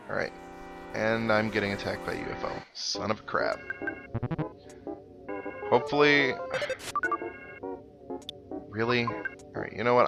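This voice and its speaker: A man's voice: lowish voice, creaky. A man giving casual video game commentary in a wry, sarcastic, mock-exasperated way. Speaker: host of a video game playthrough. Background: video game music.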